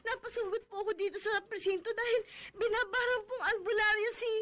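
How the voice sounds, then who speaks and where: A woman's voice: very high voice, fairly even intonation, fairly quick, a bit bright. An actress playing a frightened young woman who pleads tearfully with a police sergeant in a radio drama. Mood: pleading.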